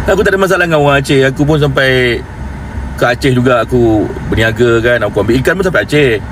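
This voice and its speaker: Brief: Malaysian-accented man, mid-pitch, mocking, rambling, loud traffic behind. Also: normal speed, long pauses, low-quality recording. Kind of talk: casual rebuttal video.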